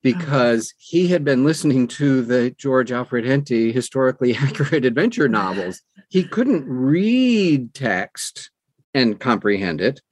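A man's voice: medium pitch, sing-song, a little slow, no room sound. A middle-aged American man answering conversationally as an interview guest, explaining earnestly and emphatically with anecdotes. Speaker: podcast guest, audiobook narrator.

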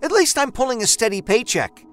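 A man's voice: extremely high pitch, lively intonation, slow pace, faint music behind, pitched above the performer's own voice, exaggerated voice. A man voicing an exasperated adult wife who scolds her husband bluntly. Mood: scolding exasperated. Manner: sharp, scolding.

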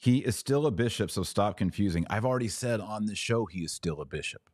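An American man with a very low voice hosts a live commentary show. He is blunt and opinionated, often repeating a phrase to drive it home. The sound is dry, with hardly any room on it. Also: expressive, a little fast, a little mellow, slightly rough, no echo. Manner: emphatic, repeats phrases for stress. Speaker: podcast host answering live audience comments.

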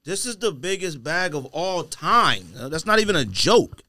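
Animated, slangy man hosting a podcast. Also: on the high side, moderate pace, no echo. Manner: slangy, joking, exclamatory. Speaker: podcast host. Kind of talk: casual chat. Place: podcast studio. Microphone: close podcast microphone.

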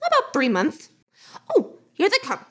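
A woman voicing a little male mouse sergeant who leads his family with brisk, commanding orders. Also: sits high, wildly swooping pitch, background noise. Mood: friendly.

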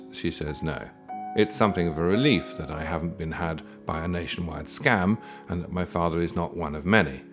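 A man reading his own first-person story aloud in a dry, wry storytelling manner. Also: very deep voice. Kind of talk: scripted first-person narration. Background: guitar music.

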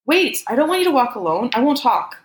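A woman reader puts on a man pleading with his girlfriend in an audiobook. He sounds urgent, sorry and desperate, pitched up from her narrating voice, in an echoey room. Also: sing-song, a little fast.

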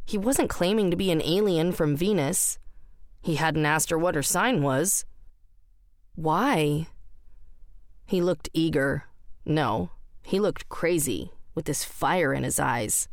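Low-pitched woman narrating fiction. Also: slow, pauses often, no echo, clean studio sound. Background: music.

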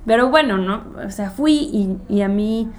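A woman's voice: medium pitch, measured pace. A woman musician from Mexico with a Mexican Spanish accent, whose first language is English, chatting in an interview and telling stories in a rambling way, full of fillers. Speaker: guest musician. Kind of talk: casual interview chat.

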